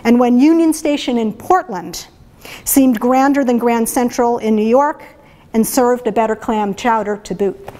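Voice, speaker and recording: A woman's voice: fairly high pitch, a little slow, dark tone. Chatty woman giving a gallery talk. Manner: chatty, hesitant, many fillers and restarts. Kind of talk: informal talk with read-aloud excerpts. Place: art gallery before an audience.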